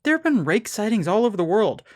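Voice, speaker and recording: Animated, wary woman played by an actress. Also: medium pitch, quick pace, natural voice. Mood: wary, serious. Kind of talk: audio drama dialogue.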